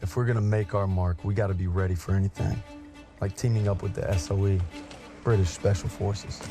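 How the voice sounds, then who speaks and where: An earnest young American soldier, voiced by a man, narrating in a low, plain, reflective voice. Music sits under his words. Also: steady tone, brisk, close to an everyday voice. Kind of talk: video game cinematic narration.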